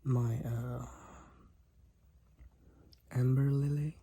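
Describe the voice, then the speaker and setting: A man performs a devoted, protective vampire boyfriend in an intimate audio drama. His voice is very husky and breathy, with a gravelly creak in it. He speaks slowly and formally, in tender, old-fashioned courtly language. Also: medium pitch, flat delivery, dark tone, no echo, exaggerated voice. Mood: tender, concerned. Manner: archaic, formal, tender, slow and deliberate wording.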